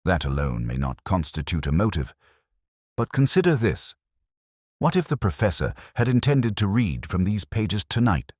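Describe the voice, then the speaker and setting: A man voicing a shrewd, commanding Victorian detective with measured, formal speech in an audiobook story. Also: low voice, very animated, darkish tone, no echo, clean studio sound. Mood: grave, assertive. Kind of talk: audiobook character dialogue.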